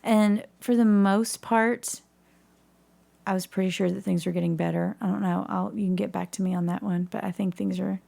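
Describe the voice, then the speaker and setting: A woman's voice: medium pitch, steady tone, normal speed, gravelly, mellow. A woman chatting casually as a guest in a podcast interview, candid and self-deprecating about herself. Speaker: podcast guest, community theatre actress.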